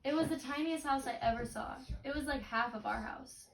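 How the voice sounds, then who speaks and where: A woman's voice: sits high, a little fast. Woman reading a storybook in a roomy, noisy space. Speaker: reader leading a read-aloud for a child. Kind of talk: picture-book read-aloud with questions to a child. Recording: roomy, distant mic, clicks and clear noise.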